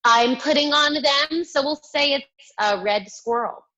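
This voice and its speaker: Bright-toned woman, slow and hesitant. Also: high voice, muffled recording. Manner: hesitant, thinking aloud. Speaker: game show contestant. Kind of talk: casual comic banter. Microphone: video call microphone.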